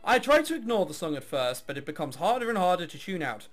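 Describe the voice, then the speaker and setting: A man's voice: high voice, big pitch swings, fast, soft background music. Man reading as a sarcastic, apathetic young heiress. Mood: bored, irritated. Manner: sarcastic, bored, crass. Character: jaded, apathetic young woman, reluctant orchestra heiress.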